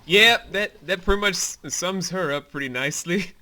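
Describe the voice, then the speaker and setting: A man's voice: high-pitched, normal speed, sharp tone, a little hiss or hum. A man co-hosting a behind-the-scenes commentary as the creator, chatting casually and explaining things in an enthusiastic, rambling way.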